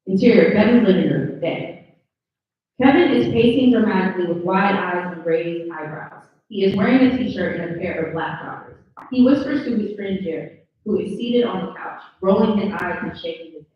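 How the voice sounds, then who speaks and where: A woman narrates screenplay stage directions at a live table read. Her mid-pitched voice comes from far off in a heavily echoing room, slightly dulled. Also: normal speed. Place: live indoor table read at a mixer event. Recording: slightly dull, very echoey, distant pickup.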